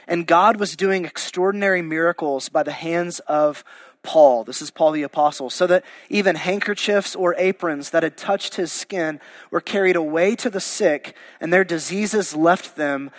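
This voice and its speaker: A man's voice: fairly high pitch, big-room sound. A male pastor, losing his voice, preaching a verse-by-verse sermon in a conversational, informal way. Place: church sanctuary.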